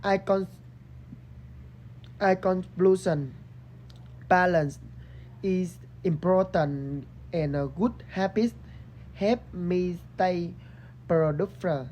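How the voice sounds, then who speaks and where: A young woman from Vietnam with a Vietnamese accent, giving a halting student presentation in English as a second language, often restarting words. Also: on the low side, mellow, a little hiss or hum. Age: young adult. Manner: hesitant, broken word starts. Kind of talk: halting rehearsed English class presentation and interview.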